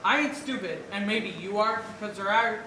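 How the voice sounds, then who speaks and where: A man's voice: high voice, moderate pace, bright, forceful tone. Sullen, slangy boyfriend type played by a young man in a stage play, defensive and blunt, picked up from far off with noise. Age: teenager or young adult. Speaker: school play actor. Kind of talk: theatrical stage acting. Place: large school auditorium stage. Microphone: far camera. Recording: distant camera, echoey auditorium, clear noise.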